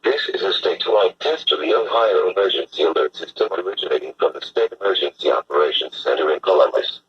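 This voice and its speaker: A man's synthetic text-to-speech voice reading a scripted emergency test announcement in a flat, formal manner. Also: deep voice, quick pace. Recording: muffled, noisy weather radio broadcast.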